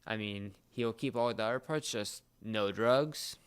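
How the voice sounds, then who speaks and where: A man co-hosting a casual talk, explaining things in a halting way with repeated and restarted phrases. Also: fairly low pitch, clear, resonant tone. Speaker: podcast co-host explaining a series.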